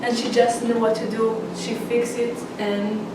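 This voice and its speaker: A woman from Israel rehearsing a personal speech, halting, with restarts and non-native grammar slips. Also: fairly high pitch, flat delivery, noisy background. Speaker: public speaking student. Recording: roomy, distant mic, background ambience.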